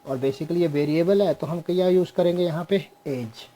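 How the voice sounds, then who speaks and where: A young man teaching a programming class on screen, slowly walking through code step by step and mixing Hindi with English. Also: a little high, dark tone. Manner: slow, explains step by step, mixes Hindi and English. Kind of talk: screen-share coding lesson.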